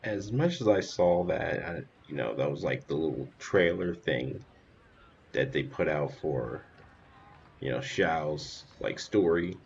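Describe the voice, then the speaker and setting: A male streamer commenting casually on a game. His voice is deep and fried, with a slow, low-key delivery. The recording is a bit muffled, with faint music behind. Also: lively intonation, creaky, a little mellow. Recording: dull lo-fi voice track, faint music.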